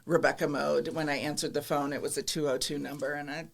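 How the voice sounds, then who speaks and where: A woman's voice: low voice, little pitch movement, fast talker, gravelly. Candid middle-aged American woman in conversation. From Midwestern United States (Minnesota, Iowa). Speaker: podcast guest, government commissioner. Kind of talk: conversational interview.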